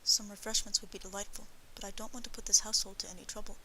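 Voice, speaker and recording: A woman's voice: medium pitch, flat delivery, very fast, pushed and bright, husky. A woman voicing a wry, courteous wandering samurai man in an audiobook, dry and understated. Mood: polite, straight-faced. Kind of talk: audiobook character voice.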